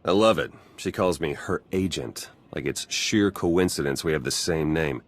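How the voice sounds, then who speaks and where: Deep-voiced, wry spy. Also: male voice, moderate pace. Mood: wry, relaxed. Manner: casual, sardonic. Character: wry, roguish male Republic spy. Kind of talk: conversational video game acting.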